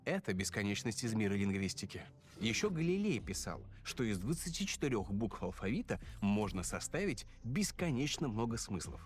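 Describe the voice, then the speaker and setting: A man giving scripted narration as a voice-over dub, in a lively explaining manner. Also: mid-range voice.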